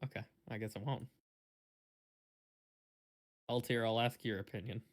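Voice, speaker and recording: A woman's voice: very deep voice, fairly even intonation, measured pace. A woman making dry, teasing remarks in a casual stream chat.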